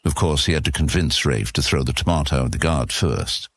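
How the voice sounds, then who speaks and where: A man's voice: extremely low pitch, brisk, no room sound. A man narrating a scripted fantasy story as the narrator of an audio serial.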